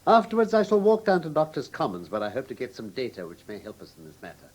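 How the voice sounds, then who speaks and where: An actor playing a shrewd, drily witty gentleman detective with precise, urbane Received Pronunciation, in a classic radio drama. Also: medium pitch, huge pitch swings, fast, a little hiss or hum. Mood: brisk, purposeful. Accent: English Received Pronunciation.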